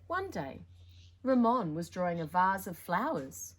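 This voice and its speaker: A woman's voice: lowish voice, big pitch swings, a little slow, fairly bright tone. A woman presenting storytime, reading picture books in a playful, theatrical way with chatty asides to young listeners. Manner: playful, theatrical, with dramatic emphasis.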